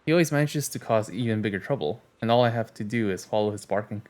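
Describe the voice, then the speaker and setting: A man's voice: on the low side, fast, darkish tone, close to an everyday voice. Put-upon young dog owner, as a man reads her. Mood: weary. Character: hapless young woman bossed by her dog.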